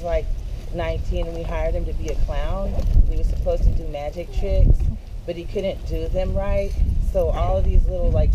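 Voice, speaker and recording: Hesitant woman in her fifties. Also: low-pitched. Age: 50s. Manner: hesitant, many ums. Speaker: mourner sharing a memory. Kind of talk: hesitant spoken memories. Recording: noisy outdoor recording.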